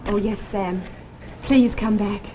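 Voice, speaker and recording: An actress playing the earnest, urgent daughter of a future scientist, in dramatic 1950s sci-fi style. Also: female voice, mid-range voice, fairly even intonation, slow pace, noisy background, lightly acted. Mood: pleading. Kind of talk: 1950s radio-era sci-fi drama acting. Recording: dull vintage broadcast with music behind.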